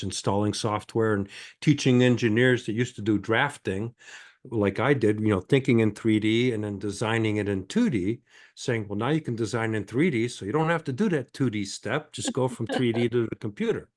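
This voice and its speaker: Older guy on a podcast, lowish voice, kinda halting, explaining his work. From born in France, grew up in Michigan, United States. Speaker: podcast guest, sales and marketing consultant. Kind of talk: conversational interview answers.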